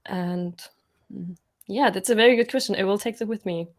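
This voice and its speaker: German woman guest, mid-pitched, halting speech with restarts, from Bavaria. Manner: hesitant, many restarts and fillers, soft-spoken. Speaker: guest, nature journaling educator. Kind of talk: casual interview chat.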